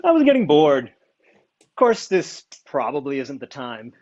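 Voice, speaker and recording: A man acts out a teasing, defiant male lieutenant in a science fiction radio play. He draws words out slowly and lets the pitch swing playfully. The recording is a little dull and lo-fi. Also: huge pitch swings, a little mellow. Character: male starship lieutenant, coolly flirtatious and defiant. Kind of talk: science fiction audio drama acting.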